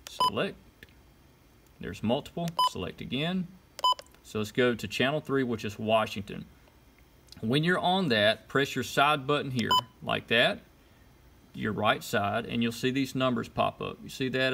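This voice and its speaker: A man giving an informal how-to demonstration, talking through each step in short, casual instructions. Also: mid-range voice. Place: indoors at a desk. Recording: clear background noise, clicks and beeps. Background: button clicks and electronic beeps.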